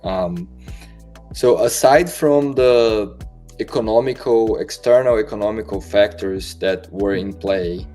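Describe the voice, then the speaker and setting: A male podcast host reading a formal introduction and asking questions in slightly halting phrasing. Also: on the low side, mellow, faint music behind.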